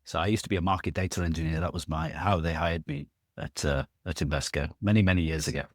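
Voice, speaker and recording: A man's voice: extremely low pitch, fairly even intonation, fast, no room sound, studio quality. A middle-aged man, a podcast guest talking casually in a rambling, self-correcting way with jokey asides. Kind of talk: casual conversational interview.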